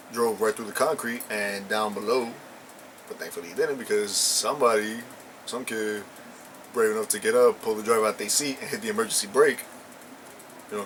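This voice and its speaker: Young man, low-ish voice, loose slangy storyteller, hiss behind him. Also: fairly bright tone, poor audio. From Puerto Rican and Ecuadorian family. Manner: slangy, rambling, many fillers. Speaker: co-host. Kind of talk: casual chat, storytelling.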